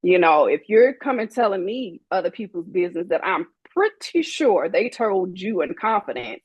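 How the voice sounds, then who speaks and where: Sassy, husky-voiced woman in her forties. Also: lowish voice, big pitch swings, normal speed, no room sound, highs cut off. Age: 40s. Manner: sassy, emphatic, acts out quoted people. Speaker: guest. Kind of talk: candid interview talk.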